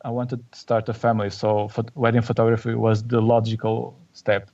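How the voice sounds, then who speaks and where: Portuguese guy w a gravelly voice, searching for words, muffled kinda far-off recording. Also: fairly low pitch, completely flat delivery, warm tone. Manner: searching for words, halting restarts. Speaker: guest photographer. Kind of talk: casual podcast interview.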